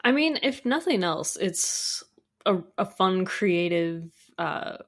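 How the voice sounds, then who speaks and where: Woman guest on a podcast, slightly low voice, chatty and keen, real casual. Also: expressive, takes it slowly. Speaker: guest, audio drama composer. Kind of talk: casual podcast interview chat.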